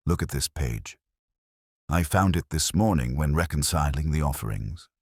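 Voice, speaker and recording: Man voicing a breathless, deep-voiced anxious vicar. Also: lively intonation, moderate pace, creaky, a little mellow, husky, no room sound, studio quality, full character voice. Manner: breathless, urgent, frantic. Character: anxious, fretful male village vicar.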